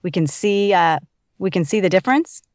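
A woman hosts the interview and puts her questions in a friendly, easily amused manner. Her voice sits at a middle pitch, recorded close and dry. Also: animated, normal speed, no echo.

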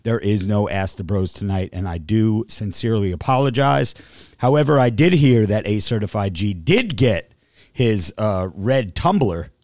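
A man's voice: on the low side, animated, moderate pace, a little mellow, no room sound, clean studio sound. A man hosting a casual livestream podcast, rambling a little and reading out a long list of supporter names. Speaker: podcast host.

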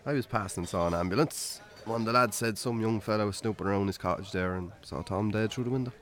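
A man's voice: fairly low pitch, fast talker, fairly bright tone, natural voice. Weary Irish farmer, played by a man. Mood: sombre, matter-of-fact. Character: weary lonely Irish farmer, muttering to his cattle, plain-spoken. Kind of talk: naturalistic radio drama acting.

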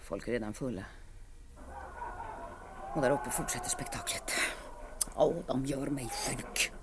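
An actress playing a bitter, lonely woman of forty-three in an intense radio monologue, swinging between hushed dread and outbursts. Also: extremely low pitch, music in the background. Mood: disgusted self-pity. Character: bitter, lonely 43-year-old woman, self-pitying and raging. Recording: archive radio recording with background noise.

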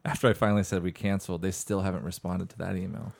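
A man co-hosts a podcast, speaking in a fairly low voice. He chats in an easygoing, matter-of-fact way. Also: little pitch movement, quick pace, gravelly. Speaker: podcast co-host. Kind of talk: casual chat.

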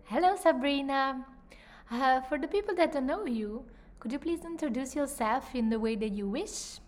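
A woman hosting a podcast in a slightly higher voice, delivering a prepared introduction before moving into her questions. She speaks in an earnest, measured way, with faint music playing in the background. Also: animated. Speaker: podcast host. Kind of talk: scripted intro, then interview questions.

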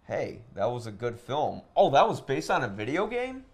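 A man's voice: mid-range voice, wildly swooping pitch, normal speed. A man hosting a casual podcast chat while playing a video game, talking discursively, giving opinions and posing questions. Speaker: podcast host. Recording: clearly noisy room recording.

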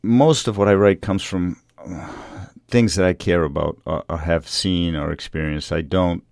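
The American author in a radio studio, middle-aged, reflective and hesitant, rumbling very low and close in a dry room. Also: male voice, steady tone, moderate pace, creaky, a little mellow, no echo. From Revere, Massachusetts, USA. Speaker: novelist being interviewed. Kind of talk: conversational interview.

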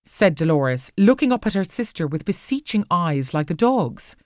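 A woman narrating an audiobook story in an expressive, scripted reading. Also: fairly low pitch, normal speed, no echo, clean studio sound.

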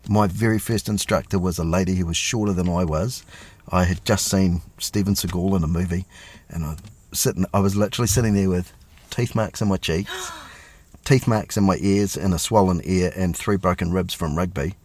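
A man's voice: sits low, moderate pace, darkish tone. A middle-aged man, a police officer chatting casually and self-deprecatingly as an interview guest.

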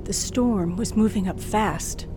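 A woman's voice: medium pitch, slow pace, noisy background, lightly acted. An actress playing a nervous, frightened young woman driver who tells her ordeal in a breathless, suspenseful old radio thriller style. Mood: tense, hushed. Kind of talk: old-time radio thriller acting and first-person narration. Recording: vintage radio drama with music underneath.

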